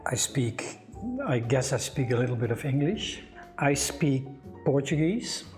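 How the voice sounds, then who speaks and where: A man's voice: medium pitch, a little slow, a little mellow, faint music behind. Older Dutch man chatting on a cooking show. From Netherlands. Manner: thoughtful, many restarts and fillers. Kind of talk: casual conversation.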